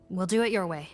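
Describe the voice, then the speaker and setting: An actress playing a dutiful, formal investigator who speaks firmly and cautiously, from a video game. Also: female voice, on the low side, close to an everyday voice. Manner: formal, firm, sighing. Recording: game audio with faint music.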